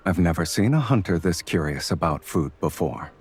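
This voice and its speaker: An actor playing a calm man on a date in a romance game, with a dry, unhurried, teasing way of talking. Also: low-pitched, breathy edge, soft background music. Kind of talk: video game romance acting.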